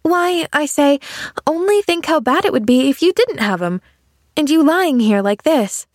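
A woman's voice: sits high, animated, moderate pace, a little mellow, dry recording, clean studio sound, pitched above the performer's own voice. A woman voicing a cheerful, naive little girl in an audiobook, eager and earnest, rambling on chattily. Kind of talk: audiobook character dialogue.

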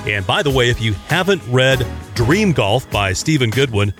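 A man's voice: medium pitch, very animated, moderate pace, fairly bright tone, soft background music. A man hosting a podcast, introducing the episode and asking questions eagerly in a casual chat. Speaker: podcast host.

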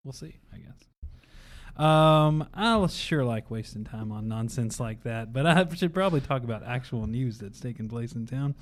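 A man's voice: mid-range voice, expressive, normal speed. A man hosting a casual panel discussion, chatty and self-deprecating. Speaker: host.

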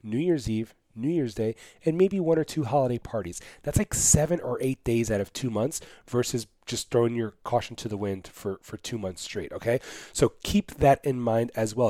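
A man's voice: mid-range voice, no echo. Male podcast host, 30s, slightly nasal from a cold, casual pep-talk coaching, dry close mic. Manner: casual, energetic coaching with many fillers.

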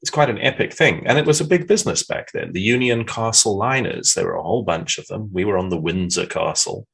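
A man's voice: on the low side, brisk, gravelly, a bit bright, husky. A man, chatting frankly as a podcast guest and telling stories. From South Africa. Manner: frank, reflective storyteller. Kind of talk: casual interview chat.

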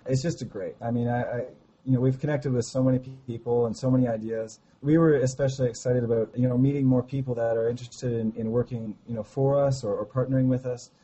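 Slightly hoarse man with a dark voice. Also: mid-range voice, steady tone, low-quality recording. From Canada. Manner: halting, many restarts, enthusiastic. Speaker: startup founder guest. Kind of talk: casual interview over a call.

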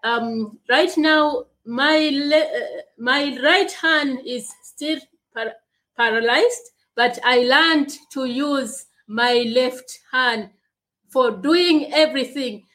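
A woman speaks very slowly, pausing long between words. Her speech is halting and her voice sits a little high. She answers an interviewer in plain, earnest sentences. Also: a bit bright. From Uganda. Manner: slow, halting speech with long pauses, from a speech impairment. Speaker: guest, leadership coach and speaker.

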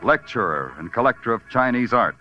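An actor playing a wry, hardboiled New York police detective in 1940s radio noir, dry, clipped and sardonic. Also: male voice, medium pitch, animated, a little fast, natural voice. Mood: brooding narration. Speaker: lead actor and narrator. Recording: muffled old radio recording with music.

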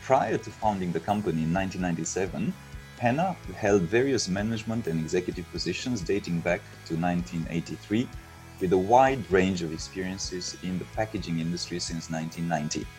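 Low-voiced man hosting an interview. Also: lively intonation, fairly quick, darkish tone, soft background music, low-quality recording. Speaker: interview host. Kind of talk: formal interview with prepared introduction.

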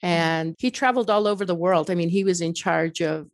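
A woman's voice: lowish voice, a little fast, no room sound, clean studio sound. A middle-aged woman whose first language is Farsi, speaking as an author in an interview in an earnest, reflective way with many restarts. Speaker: author and guest. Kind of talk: interview conversation.

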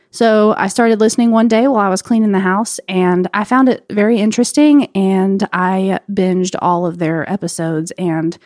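A woman's voice: mid-range voice, a little mellow, no room sound, studio quality. A woman of twenty-five co-hosting a podcast, talking in a bubbly, eager way and stringing her sentences together. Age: 20s. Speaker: podcast co-host. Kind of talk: casual podcast self-introduction.

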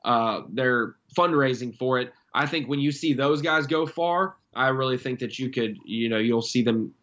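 Young guy rambling excitedly on a podcast, sound a bit dull, medium pitch. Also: normal speed. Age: young adult. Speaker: guest, former college angler. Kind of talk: casual podcast chat.

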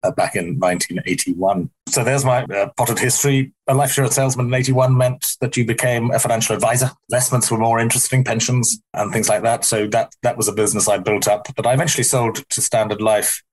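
A middle-aged man from South Africa with a softened South African-English accent, answering in an interview in a rambling, wry way that corrects itself. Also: medium pitch, steady tone, quick pace, few pauses, breathy edge, low-quality recording. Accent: South African softened toward English. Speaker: interview guest, company founder.

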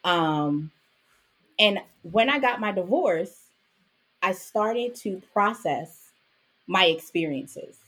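A Jamaican-born woman raised in Brooklyn, a podcast guest telling her story in a lively, chatty way with frequent "right?" asides. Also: medium pitch, takes it slowly, pauses often, husky, poor audio. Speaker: podcast guest, author and business owner. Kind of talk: casual interview conversation.

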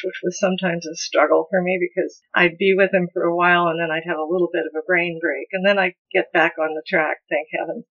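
A woman's voice: lowish voice, fairly bright tone. An older woman from the United States with a toned-down mid-Atlantic accent, a folk musician talking in an interview, thoughtful and often restarting her sentences. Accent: mid-Atlantic American. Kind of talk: relaxed interview conversation. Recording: slightly dull lo-fi sound.

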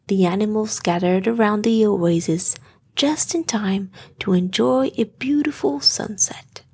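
Breathy woman reading a slow bedtime story. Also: mid-range voice, a little mellow. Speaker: storyteller, podcast host. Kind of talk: scripted bedtime story narration.

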